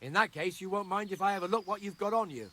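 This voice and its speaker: An actor voicing a suspicious, officious medieval gamekeeper in a video game, challenging and authoritative. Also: male voice, high-pitched, fast talker, sharp tone. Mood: suspicious, stern.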